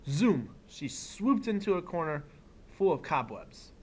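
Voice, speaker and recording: A man teaching young children, reading a picture book aloud expressively and pausing for simple explanatory asides. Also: fairly high pitch, sing-song, a little mellow, a little hiss or hum. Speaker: elementary school teacher.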